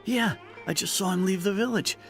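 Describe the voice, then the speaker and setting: An actor playing a friendly elderly villager in a video game, speaking in a warm, matter-of-fact way. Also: male voice, high voice, moderate pace, faint music behind. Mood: helpful. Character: friendly elderly villager, fond of praising youth.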